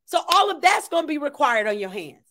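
A woman's voice: sits high, very animated, fairly quick, pushed and bright, slight background noise. A middle-aged woman preacher delivering an impassioned sermon, exhorting emphatically and repeating phrases for weight, recorded in a room at home. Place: small home room, indoors.

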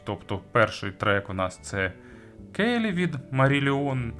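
A male reviewer talks through gear in a conversational way at a middling pitch, with music playing faintly behind him. He pauses to search for words, draws some out and pushes others up for emphasis. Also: a bit bright. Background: background music.

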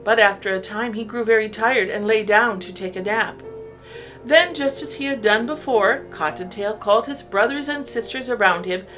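A woman narrating a children's story from a script, in an expressive, deliberate storytelling way. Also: a little high, soft background music, rough recording.

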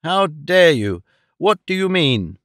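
A man voicing a pompous, indignant middle-aged gentleman father in an audiobook, halting and hemming through grandiose sentences. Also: a little high, smooth, no room sound, clean studio sound, natural voice. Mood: furious, blustering. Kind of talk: audiobook character acting of a Victorian novel.

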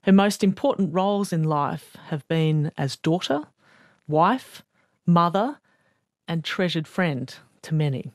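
A woman hosting a radio interview, clear and composed. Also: low voice, dry recording, clean studio sound. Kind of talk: broadcast interview. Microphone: studio mic.

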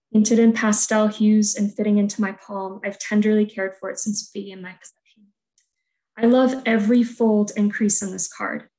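A woman whose first language is Polish, reading a scripted artist talk about her work in a soft, measured, reflective way over earbuds on a video call. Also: medium pitch, low-quality recording. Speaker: art student presenting her thesis work. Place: room at home, indoors.

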